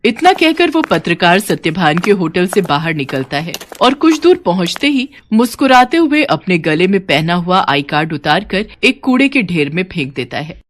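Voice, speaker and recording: A woman narrates a moral story with plenty of expression. Her voice sits a little low and moves widely in pitch. There is faint noise in the recording. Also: sing-song, normal speed, hardly any pauses.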